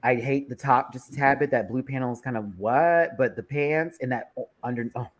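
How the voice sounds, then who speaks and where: A man in his thirties hosting a panel show, chatting in a campy way with quick asides about runway looks. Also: medium pitch. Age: 30s. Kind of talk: casual panel chat reviewing runway looks.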